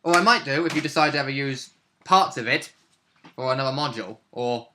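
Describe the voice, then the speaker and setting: A man's voice: medium pitch, fairly quick, pushed and bright. A man chatting casually as a tabletop game player, often chipping in with brief agreement. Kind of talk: casual chat.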